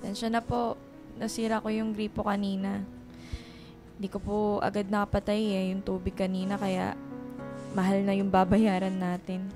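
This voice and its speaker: An actress playing a caring, apologetic young daughter in a Filipino radio drama, speaking respectfully and pleadingly. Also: female voice, mid-range voice, monotone, moderate pace, long pauses, over music, natural voice. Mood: apologetic, earnest.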